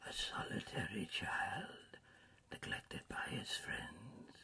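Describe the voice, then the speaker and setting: A man voicing a gentle, solemn storybook spirit in a slow, measured, hushed and breathy whisper. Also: low voice, big pitch swings, full character voice. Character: gentle, mild, solemn ghostly spirit guide. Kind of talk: storybook character reading.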